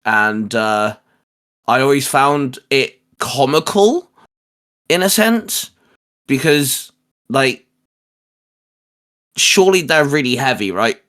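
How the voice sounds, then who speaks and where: A man hosting a casual geek chat in a halting, repetitive way, often stumbling over the starts of words. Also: medium pitch, very animated, fairly bright tone. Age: adult, a parent. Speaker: host.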